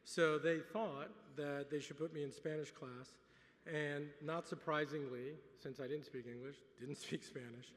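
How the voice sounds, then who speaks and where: A man's voice: a little high, measured pace. Middle-aged man giving a gala speech. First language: French. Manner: relaxed, dry humor with many "um"s. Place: large banquet hall. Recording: reverberant hall.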